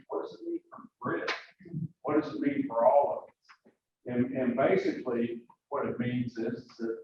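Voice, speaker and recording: A man giving a folksy, loud, projecting talk to a live audience, asking the room questions. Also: mid-range voice, a little hiss or hum. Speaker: automotive industry speaker. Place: conference room. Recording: echoey room, from far off, dull lo-fi sound.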